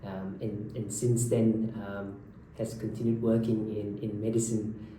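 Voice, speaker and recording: Man in his 30s, from Malaysia, research doctor; mellow, low voice, halting delivery full of ums, mic a little distant in a very echoey room. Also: flat delivery. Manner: hesitant, many fillers and restarts. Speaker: haematology research fellow. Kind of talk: unscripted introduction to camera. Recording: echoey room, from a distance, faint background noise.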